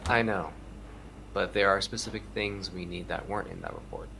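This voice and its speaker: A dogged, low-voiced detective. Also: male voice, huge pitch swings, normal speed, vocal fry, noisy background, heavily acted. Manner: probing, matter-of-fact. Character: dogged male police detective, weary and probing. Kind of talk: audio drama acting with narration.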